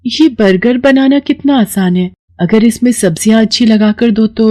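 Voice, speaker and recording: A woman's voice: on the high side, moderate pace, mellow. A young woman narrating a storybook cartoon earnestly and voicing its heroine. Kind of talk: storybook narration with character voices.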